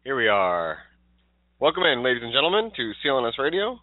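A man's voice: mid-range voice, very animated, brisk, no echo. A stuffed-up man co-hosting a casual sports podcast, rambling with restarts. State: stuffed up, congested. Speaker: podcast co-host. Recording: tinny phone-like dry sound.

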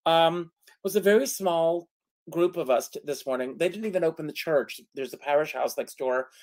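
A man in his sixties in a casual talk show chat, speaking haltingly with frequent hesitations. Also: a little high. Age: 60s. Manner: halting, lots of "uh" fillers.

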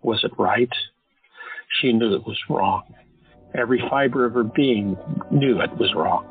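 A man narrating an audio drama in a steady storytelling manner. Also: medium pitch, measured pace, husky, no room sound. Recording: tinny phone-like sound, faint music.

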